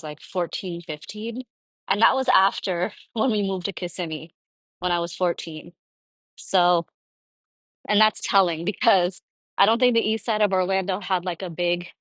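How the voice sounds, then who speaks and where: A woman's voice: lowish voice, fairly bright tone, no echo, low-quality recording. A young woman from Florida hosting her own podcast, talking casually about her life in a rambling, conversational way with lots of fillers. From Florida, United States. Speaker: podcast host. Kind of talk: casual personal monologue.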